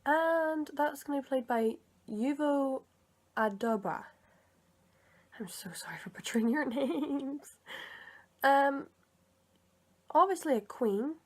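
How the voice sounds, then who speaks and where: A woman talking casually to her viewers in a vlog, chatty and rambling, correcting herself as she goes, and a bit sick. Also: high voice. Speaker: video creator and comic dub reader.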